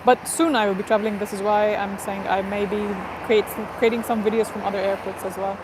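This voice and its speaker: A woman's voice: medium pitch, fairly even intonation, brisk. A woman hosting a casual live stream outdoors near an airport, chatting with viewers in accented English and laughing often. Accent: non-native English, neither Portuguese nor Spanish. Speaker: livestream host. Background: traffic and ambient noise.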